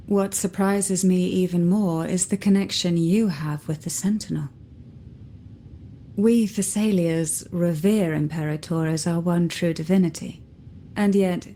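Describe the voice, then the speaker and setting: A woman playing a poised, secretive, softly teasing noblewoman in a video game, with a measured, formal, silky delivery. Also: lowish voice, slight background noise, natural voice. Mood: poised, probing.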